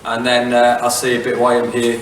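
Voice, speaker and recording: A middle-aged Welsh man giving a relaxed public talk, chatty and self-mocking, with plenty of fillers and restarts. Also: mid-range voice, brisk, slight background noise. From Wales. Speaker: head teacher giving a talk. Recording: echoey hall, mic from far off.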